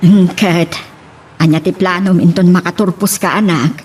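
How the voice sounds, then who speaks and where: Babae nga agak-akto iti naalumamay nga ina. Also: female voice, fairly low pitch, fairly even intonation, normal speed, darkish tone, slight background noise, lightly acted. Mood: caring. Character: kindly mother, gently inquisitive. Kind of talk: Ilocano radio-style drama acting.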